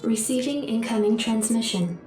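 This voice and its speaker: A woman's voice: on the high side, moderate pace, close to an everyday voice. A woman voices a ship's computer in a sci-fi game, formal and composed. The voice is heavily echoed and distant, with music behind it. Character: formal female ship computer announcing reports. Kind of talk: video game voice acting.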